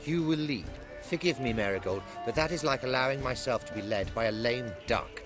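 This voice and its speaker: A man's voice: mid-range voice, brisk, over music, natural voice. A man voicing a haughty, sardonic fellow in a video game, mocking and theatrical. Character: haughty, sardonic old acquaintance, male.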